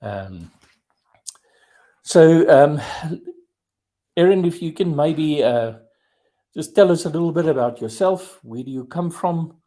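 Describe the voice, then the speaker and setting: A man hosting a podcast over a video call, talking slowly with many fillers and restarts. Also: a little high, lively intonation, dark tone. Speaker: podcast host. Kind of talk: casual interview chat.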